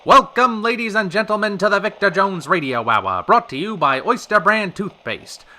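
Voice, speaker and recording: A man's voice: high voice, lively intonation, moderate pace, over music. A man playing a showy 1930s radio show host with a theatrical announcer delivery. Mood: showy, polished. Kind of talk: 1930s radio broadcast acting.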